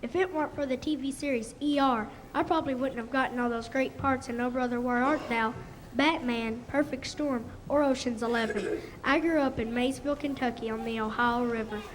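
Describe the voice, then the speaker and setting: High female voice acting as earnest male film star. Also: steady tone, brisk, natural voice. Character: earnest male Hollywood actor recalling his Kentucky roots. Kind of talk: scripted school radio show acting. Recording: echoey hall, faint noise.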